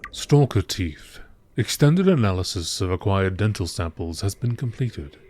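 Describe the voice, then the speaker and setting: A man reading scripted text as a narrator in nature documentary style, with a measured, matter-of-fact, formal delivery. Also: low-pitched, expressive, darkish tone, a little hiss or hum. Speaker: narrator reading out game lore.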